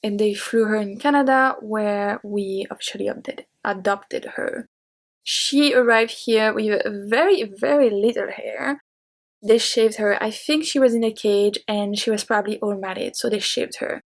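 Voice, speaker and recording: A woman in her thirties with a French accent, a French native speaker, answering viewer questions in a chatty, open way. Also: mid-range voice. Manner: chatty and open, with light laughs. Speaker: French teacher and YouTuber. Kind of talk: casual Q&A talking to camera.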